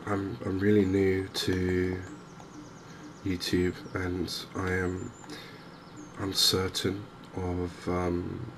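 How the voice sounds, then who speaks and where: A softly spoken man giving hesitant, unhurried ASMR-style commentary over a video game. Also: low-pitched, monotone. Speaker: gaming video host. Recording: clear game ambience noise behind voice. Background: outdoor wildlife ambience from a fishing game.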